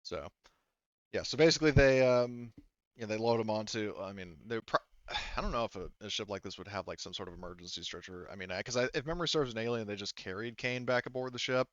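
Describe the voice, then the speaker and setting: A man narrating a tabletop role-playing game as game master, improvising in a halting, rambling way full of asides. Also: fairly low pitch, dry recording, dull audio.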